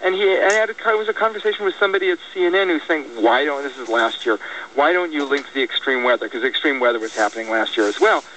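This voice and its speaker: An American man speaking in a political radio discussion, halting and repeating words as he reasons things out. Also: medium pitch, lively intonation, bright, forceful tone. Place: radio broadcast. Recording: dull lo-fi radio, distant, faint noise.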